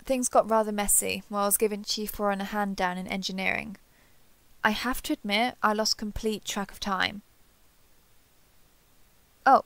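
A woman playing a warm, earnest female alien starship captain in a sci-fi roleplay audio drama. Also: medium pitch, dry recording, close to an everyday voice. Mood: brisk, courteous. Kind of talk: roleplay audio drama, one-sided dialogue.

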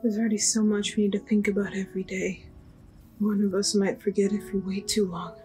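A woman voicing a gentle young apprentice mage in an anime fandub, soft, reflective and a little hesitant. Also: medium pitch, little pitch movement, a little fast, lightly acted, low-quality recording. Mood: quiet, casual. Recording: music under the voice.

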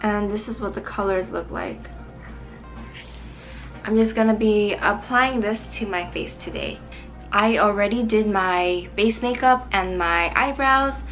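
Chatty young woman, slightly hoarse voice. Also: medium pitch, a little slow, fairly bright tone, soft background music. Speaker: vlogger. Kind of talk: casual makeup chat to camera. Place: small bright room indoors.

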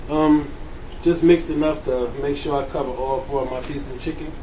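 A man with a somewhat high voice walks viewers through a recipe in a loose, informal way. He sounds set back from the microphone in a home kitchen, with loud sizzling and noise around him. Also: fairly even intonation, normal speed. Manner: informal, addresses viewers as y'all. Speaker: home cooking show host. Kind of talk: casual cooking demonstration. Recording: distant mic, loud frying kitchen noise. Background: frying food sizzle.

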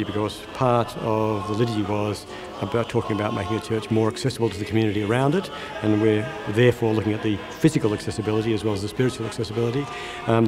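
A middle-aged man, explaining a project in an interview. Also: fairly low pitch.

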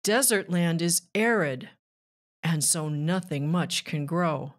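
A woman reading a rhyming children's book aloud with measured, deliberate phrasing. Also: fairly low pitch. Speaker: children's author and narrator.

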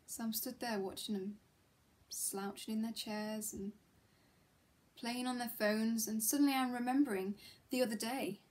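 Actress as frustrated, miserable female teacher, higher register, intimate and intense dramatic monologue, halting delivery. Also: fairly even intonation, a little mellow, a little hiss or hum, close to an everyday voice. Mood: quiet, reflective. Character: disillusioned, miserable woman schoolteacher, passionate and frustrated. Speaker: actor performing a monologue.

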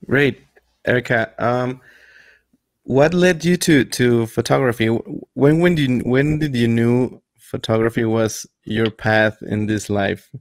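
Halting young male co-host, dry sound. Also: mid-range voice, no room sound. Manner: halting, restarts words, non-native phrasing. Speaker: podcast co-host. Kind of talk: casual video-call interview. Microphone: studio mic with headphones on a video call.

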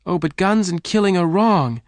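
A man's voice: mid-range voice, normal speed, no echo, pitched above the performer's own voice, natural voice. A man voicing an anxious, emotional woman rancher in an Old West audiobook, pleading and earnest. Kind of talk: audiobook character dialogue.

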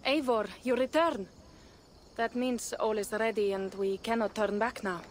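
A woman's voice: on the high side, steady tone, unhurried, slight background noise, lightly acted. An actress playing a wary, plain-spoken young Viking warrior woman in a video game, direct and earnest. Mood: worried. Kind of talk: dramatic video game cutscene acting.

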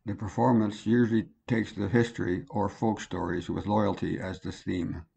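Very low gravelly male narrator. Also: fairly even intonation, moderate pace, dark tone. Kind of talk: scripted educational narration.

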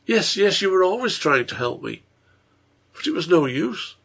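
Man acting a wretched, gravelly, guilt-ridden father. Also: high voice, very animated, normal speed, a bit bright, pitched above the performer's own voice, full character voice. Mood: despairing. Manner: anguished, pleading, voice breaking.

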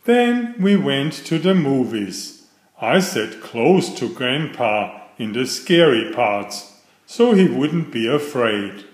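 A middle-aged man reading aloud to young children in a simple storytelling style, with clearly phrased delivery. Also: medium pitch, sing-song, takes it slowly. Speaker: children's book reader. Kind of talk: read-aloud bedtime storytelling. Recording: roomy, echoey voice recording.

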